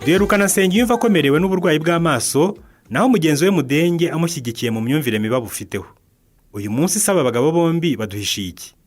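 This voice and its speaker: A man introduces a radio drama episode as its narrator. His fairly high voice moves quickly through the scripted lines. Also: expressive.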